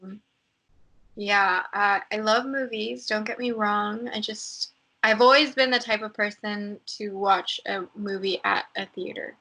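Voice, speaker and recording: A woman's voice: mid-range voice, fairly even intonation, a little slow, pushed and bright, poor audio. A young American woman chatting casually as a podcast guest, rambling with lots of fillers. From United States. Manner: chatty, lots of likes and ums. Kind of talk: casual chat.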